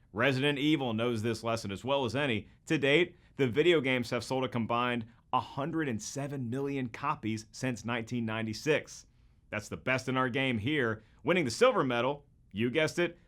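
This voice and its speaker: A man's voice: medium pitch, a bit bright. A man hosting scripted comedic commentary, with a wisecracking manner full of rhetorical questions. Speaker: host and comedian.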